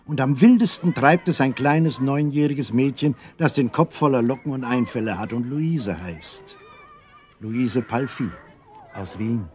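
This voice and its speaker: A man narrating a children's story from a script, expressive and unhurried, with a husky voice. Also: medium pitch.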